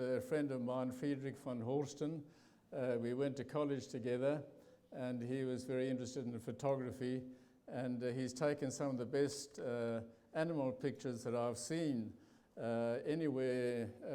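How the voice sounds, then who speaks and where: South African man preaching, mellow voice. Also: medium pitch. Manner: halting, many fillers and restarts. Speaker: guest preacher. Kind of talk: church testimony talk.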